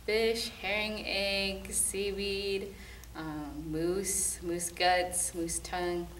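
A woman's voice: medium pitch, little pitch movement, very slow, a bit bright, slight background noise. A young woman answering interview questions in a casual, chatty way. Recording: echoey room, distant mic.

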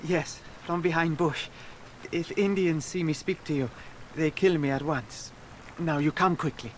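A man's voice: a little high, unhurried, a little hiss or hum, natural voice. An actor playing a timid, loyal South American orange-seller boy in a radio drama, speaking urgent broken English.

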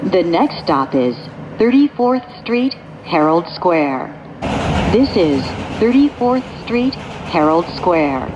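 A woman giving measured, formulaic recorded announcements as a subway train announcer. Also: lowish voice, big pitch swings. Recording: dull recording with clear train noise. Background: subway vehicle noise.

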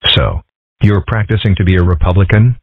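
Casting: man as sensible cartoon fox lad, dry delivery, low husky voice, phone-like sound. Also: a little fast, no echo. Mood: skeptical. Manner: dry, deadpan. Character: sensible, deadpan young fox boy. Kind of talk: comic strip dub. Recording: muffled narrow phone-like sound.

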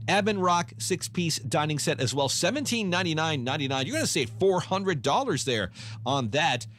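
A male radio host ad-libs a sales pitch on a live remote broadcast. His voice sits fairly high, with faint noise around it. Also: lively intonation, moderate pace, fairly bright tone. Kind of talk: ad-libbed live promotional talk.

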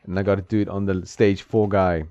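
A man's voice: low-pitched, level tone, fairly quick, dark tone, no room sound. A man in his thirties giving casual, joking commentary while he plays a game at home, laughing often and talking to the screen. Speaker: gameplay streamer. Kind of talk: casual let's-play commentary. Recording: slightly dull, dry sound with game music.

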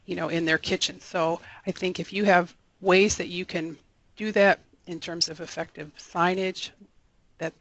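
A man giving a recorded slideshow lecture as an extension educator, relaxed and rambling, with many fillers and restarts. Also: a little high, gravelly, low-quality recording. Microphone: voice-over for slides.

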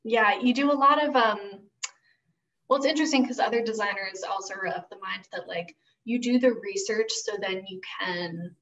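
A woman's voice: mid-range voice, fairly bright tone, recorded from a distance, muffled recording. A young woman explaining her work conversationally as a guest on a video call. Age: young adult. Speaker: guest, costume designer.

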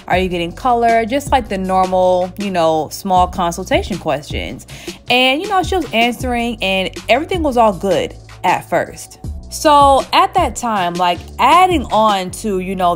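A woman in her thirties, a hairstylist, chatting casually while seated in her small salon, telling a story with lots of laughs. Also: medium pitch. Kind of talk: casual storytime while getting a wig installed. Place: small indoor hair salon. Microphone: camera at chest height, seated facing it.

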